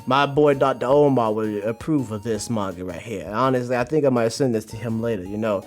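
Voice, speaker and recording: A man's voice: medium pitch, fairly quick. A man hosting a livestream, giving slangy, exclamatory commentary to his chat and repeating phrases in bursts. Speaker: livestream host reviewing manga. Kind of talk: animated commentary to a live chat. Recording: music behind the voice. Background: music.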